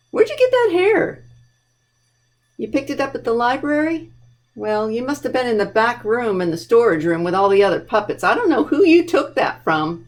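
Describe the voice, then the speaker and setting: Slow-paced woman hosting children's storytime. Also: on the high side. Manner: playful, talks directly to young children. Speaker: children's library storytime host. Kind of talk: children's storytime reading and chat.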